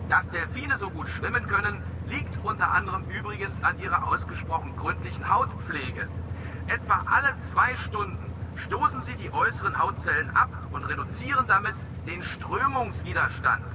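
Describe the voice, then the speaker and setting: An actor playing a jokey dolphin boat tour guide in a radio drama, lecturing tourists in a cheeky, rehearsed way. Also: male voice, sits high, expressive, normal speed. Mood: cheerful lecturing. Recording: noisy outdoor boat-tour ambience.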